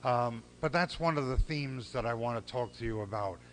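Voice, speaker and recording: An older man giving a speech at a gala, earnest and measured, with pauses and repeated phrases. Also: medium pitch, slight background noise. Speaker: civil rights lawyer giving a gala speech. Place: banquet hall.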